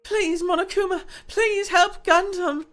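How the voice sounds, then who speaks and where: A woman's voice: extremely high pitch, fairly even intonation, a little slow, a bit bright, faint music behind. A woman playing a polite young noblewoman in an anime game, earnest and emotional. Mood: distraught pleading. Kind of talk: anime video game voice acting.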